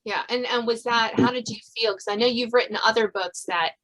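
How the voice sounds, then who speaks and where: A woman's voice: a little high, brisk, fairly bright tone, rough recording. A woman hosting a casual interview podcast, often rephrasing as she goes. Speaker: podcast host.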